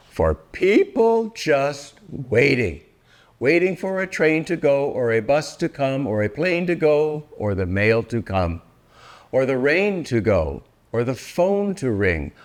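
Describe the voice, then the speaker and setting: An older man reading a rhyming children's book aloud in a theatrical, deliberate way, drawing out words for effect. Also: mid-range voice. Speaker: storybook reader.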